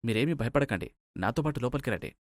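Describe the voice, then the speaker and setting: A man voicing a calm, reassuring undercover doctor in story dialogue. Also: mid-range voice, fast talker, no echo, studio quality, lightly acted. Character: calm, reassuring male agent posing as doctor.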